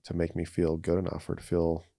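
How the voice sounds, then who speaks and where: A man's voice: deep voice, completely flat delivery, a little fast, creaky, dark tone, no room sound, clean studio sound. A man, thirty or older, talking casually and reflectively as a podcast guest, with an earnest, conversational way of telling personal stories. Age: 30s or older.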